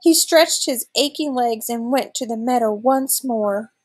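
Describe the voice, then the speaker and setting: A woman's voice: a little high, unhurried, darkish tone. A woman in her thirties reading a children's storybook aloud expressively, like a teacher.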